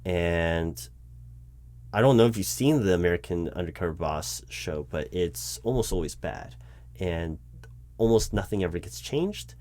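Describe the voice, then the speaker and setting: A man playing an exasperated corporate hiring manager in a one-sided interview roleplay, talking in a rambling, halting way with stammers and self-corrections. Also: deep voice, lively intonation, darkish tone. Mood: exasperated, hesitant. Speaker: roleplay performer. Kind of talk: improvised one-sided job interview roleplay, spoken aloud.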